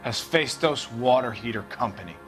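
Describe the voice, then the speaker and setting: A man's voice: medium pitch, animated, moderate pace, a bit bright, soft background music, lightly acted. A man playing a bitter, defiant political radical being interrogated in a video game, sounding aggrieved.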